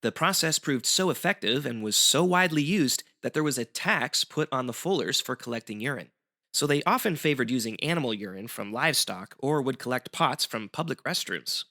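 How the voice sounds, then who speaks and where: A man's voice: mid-range voice, animated, a little fast, bright, forceful tone. A man as a guest explaining history in semi-scripted educational banter, articulate and wry.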